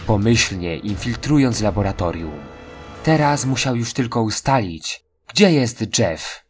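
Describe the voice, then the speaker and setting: A man's voice: on the low side, a little mellow, slight background noise. A man reading scripted narration for a horror audio drama.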